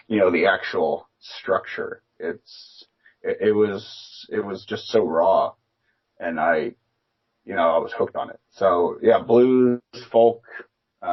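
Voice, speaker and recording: Guest musician, man from Philadelphia, lowish voice, rambling and filler-heavy, muffled lo-fi sound. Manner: rambling, many fillers and restarts. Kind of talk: casual interview chat. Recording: dull lo-fi remote audio.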